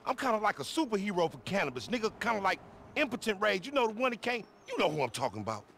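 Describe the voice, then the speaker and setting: A man's voice: sits high, sing-song, fast, vocal fry, sharp tone, a little hiss or hum, exaggerated voice. A man playing a boastful, foul-mouthed young hustler in a video game cutscene, with an American accent and slangy, cajoling banter. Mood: indignant, preachy.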